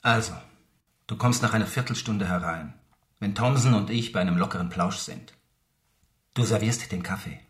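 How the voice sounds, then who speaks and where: A man's voice: on the low side, normal speed, long pauses, lightly acted. A man acting in a radio drama as an anxious, insistent screenwriter who explains and instructs precisely. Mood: instructing, firm. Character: anxious, insistent male novelist turned screenwriter, short of money. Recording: roomy, echoing interior sound.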